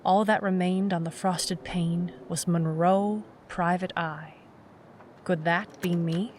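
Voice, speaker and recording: A woman's voice: fairly low pitch, steady tone, takes it slowly, background noise. A woman playing a wry, world-weary, tough private eye, narrating a hardboiled noir audio drama. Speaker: lead narrator.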